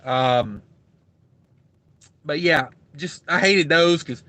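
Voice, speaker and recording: A man's voice: a little high, drawn-out words, bright, forceful tone. A man hosting a trucking livestream, rambling casually with lots of fillers while reading out viewer comments, his throat getting dry. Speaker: truck driver livestream host.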